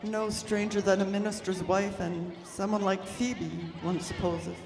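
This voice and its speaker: A woman's voice: medium pitch, little pitch movement, a little slow, vocal fry, crowd noise, background noise. A woman playing an articulate, affectionate Edwardian minister's wife in an old-time radio drama, with genteel, precise phrasing. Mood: wistful. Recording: echoey hall, faint audience sounds.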